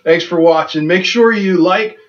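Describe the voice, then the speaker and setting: A man's voice: sits high, unhurried, muffled recording, background noise. Folksy man teaching in a welding shop. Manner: plainspoken and folksy, jokes at his own expense. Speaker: welding instructor and host. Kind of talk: casual how-to demonstration. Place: home welding workshop, indoors.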